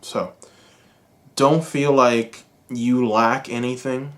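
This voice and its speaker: A Cuban American man giving a calm, reassuring tarot reading, speaking slowly and soothingly to his viewers. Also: mid-range voice. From United States. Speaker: tarot reader. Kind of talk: pick-a-card tarot reading.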